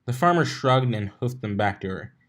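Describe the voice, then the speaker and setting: A man's voice: medium pitch, expressive, moderate pace. A man narrating a fan fiction story aloud in an informal way, stumbling over and rereading lines now and then.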